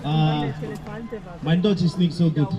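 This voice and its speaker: Fijian man speaking slowly on stage. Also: a little high, steady tone. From Fiji. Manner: friendly, slow, halting speech. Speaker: singer with guitar. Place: outdoor stage.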